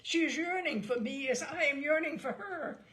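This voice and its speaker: A woman's voice: high voice, normal speed, fairly bright tone, voice pushed up. A woman voicing a young male ship's mate, earnest and stubbornly protesting, in a storybook reading.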